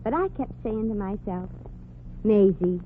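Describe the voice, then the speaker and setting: A woman's voice: medium pitch, animated, measured pace, slight background noise, lightly acted. An actress playing a streetwise, sarcastic young showgirl with a brassy, slangy New way of talking, in 1940s radio comedy style. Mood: wry. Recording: tinny old radio broadcast.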